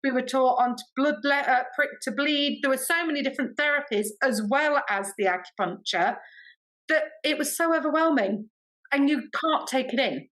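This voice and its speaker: A woman with an English accent, a podcast guest telling stories in a chatty, expressive way and acting out quoted dialogue. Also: high voice, moderate pace, rough recording. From Britain. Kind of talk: animated storytelling in casual interview.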